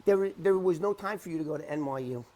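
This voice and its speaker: A middle-aged man talking unscripted to the camera in an emphatic, repetitive, halting way as he rebuts claims. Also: a little high, dark tone. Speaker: interview commentator debunking someone's claims.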